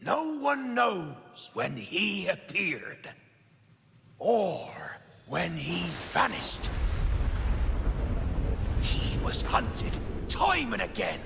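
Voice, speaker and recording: A boisterous pirate henchman from a video game. The voice is bright and forceful, with a gravelly creak. He spins his boasts slowly and theatrically. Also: high voice, very animated, a little hiss or hum, heavily acted. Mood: hushed storytelling. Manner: dramatic, drawn-out, swooping delivery. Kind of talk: video game character acting.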